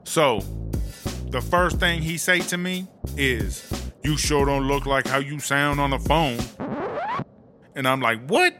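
A man's voice: mid-range voice, slow, long pauses, music in the background, close to an everyday voice. Man playing a swaggering, slangy woman inmate. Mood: swaggering storytelling. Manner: slangy, swaggering street storytelling. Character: brash, proud woman prison inmate, swaggering and funny. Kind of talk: comedic audio drama monologue.

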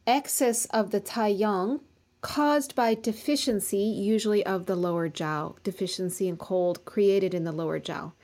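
A woman's voice: medium pitch, unhurried, a little mellow. A woman acupuncturist who practices in Colorado, a guest speaking earnestly in long run-on stretches in a casual professional conversation.

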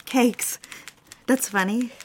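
An actress playing a sincere, quietly stubborn young secretary in an audio drama. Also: female voice, on the high side, slow pace, slight background noise. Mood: troubled. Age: young adult. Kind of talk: audio drama acting and narration.

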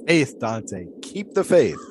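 Folksy philosophizing flagpole sitter, noisy ambience. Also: male voice, medium pitch, big pitch swings, takes it slowly. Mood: wry. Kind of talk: audio drama dialogue in 1920s period idiom.

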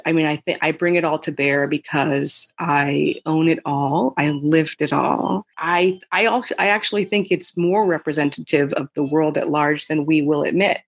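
Woman in her 30s, low voice, reflective guest answers, lots of restarts. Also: moderate pace, hardly any pauses, husky. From United States. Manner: thoughtful, many restarts and "you know". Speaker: podcast guest.

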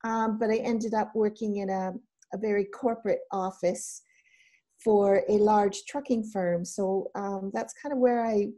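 Guest, Canadian woman, middle-aged, mellow tone, easygoing chatter. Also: mid-range voice, a little slow. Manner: relaxed, chatty, laughs often, many ums and restarts. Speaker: guest entrepreneur. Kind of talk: casual interview chat.

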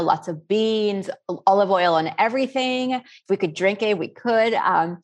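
A woman's voice: medium pitch, darkish tone. A middle-aged woman from Spain answering interview questions in an earnest, rambling, conversational way. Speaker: interview guest, self-taught cook.

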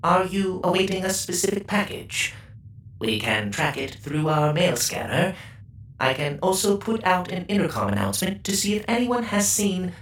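A man voicing a polite, formal male robot who speaks in a precise, measured way. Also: high-pitched, sing-song, fairly bright tone, reverberant, pitched above the performer's own voice, heavily acted, poor audio. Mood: courteous.

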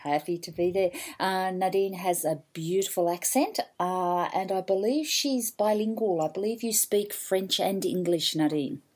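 Husky-voiced Australian woman host. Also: medium pitch, moderate pace, few pauses, a little mellow. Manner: chatty, enthusiastic. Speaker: podcast host. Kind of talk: casual interview chat.

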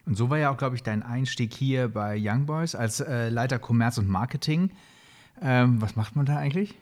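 A man's voice: mid-range voice, normal speed, a little mellow. A man co-hosting a podcast, asking informal questions with hesitant fillers. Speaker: podcast co-host.

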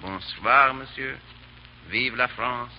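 An actor playing a gallant, suave English aristocrat in 1930s radio drama, with mockingly courteous manners. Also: male voice, mid-range voice, takes it slowly, lightly acted. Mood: mocking, triumphant. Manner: urbane, mockingly courteous, drawling politeness. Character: gallant, suave, foppish English aristocrat hero, calm under threat. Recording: muffled, noisy 1930s radio broadcast.

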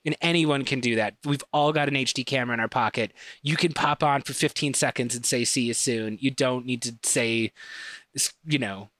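A man with a Chicago accent, a podcast guest on a video call, chatting eagerly in quick bursts with fillers. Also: mid-range voice, fairly bright tone, no echo. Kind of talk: casual conversation.

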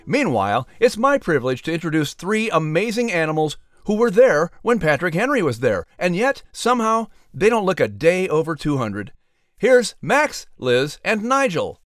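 A man narrating a children's story as announcer, with expressive, scripted storytelling delivery. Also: high voice, normal speed, dry recording, studio quality.

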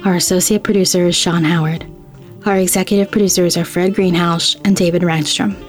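A woman's voice: on the low side, steady tone, a little fast. A woman hosting a podcast, reading scripted credits and announcements. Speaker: host. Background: faint music.

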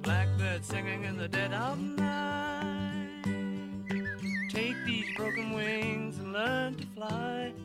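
A woman singing a gentle song in a folk style, accompanied by guitar. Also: fairly high pitch, slow. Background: guitar music.

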